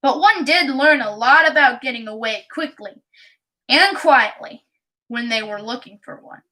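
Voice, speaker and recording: A woman reading a children's storybook aloud in a careful, halting way. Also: on the high side, pushed and bright, low-quality recording. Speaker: audiobook reader.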